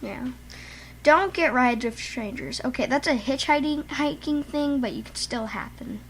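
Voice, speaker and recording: A woman co-hosting a casual show, rambling and halting with frequent restarts. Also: high-pitched, slight background noise, poor audio. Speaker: podcast co-host. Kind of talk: casual chat.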